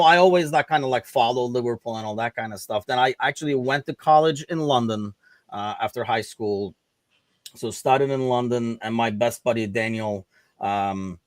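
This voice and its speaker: A man's voice: medium pitch, no room sound. A middle-aged man from Turkey telling personal stories in an informal, rambling way as a podcast guest. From Istanbul, Turkey. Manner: informal, rambling, peppered with "like" and "you know". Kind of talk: casual chat, personal storytelling.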